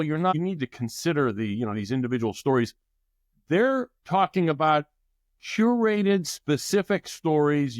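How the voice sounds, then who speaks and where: Middle-aged male guest, measured explainer, dry close recording. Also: fairly high pitch, no room sound. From United States. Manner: thoughtful, explanatory with restarts. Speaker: guest expert. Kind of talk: podcast interview.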